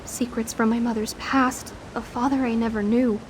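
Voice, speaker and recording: A woman's voice: fairly high pitch, level tone, normal speed, background noise, natural voice. An actress playing a polite, bewildered young daughter grieving her mother in a video game, earnest and courteous. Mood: overwhelmed, grateful. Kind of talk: dramatic video game dialogue.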